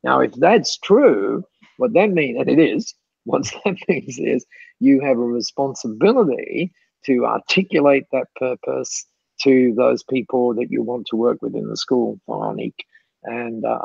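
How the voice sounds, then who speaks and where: A man's voice: mid-range voice, moderate pace, gravelly, a little mellow, breathy edge, no echo. A man answering questions as a guest speaker in chatty, repeating speech that breaks into laughs, on a dull lo-fi call recording. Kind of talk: mentoring Q&A on a call.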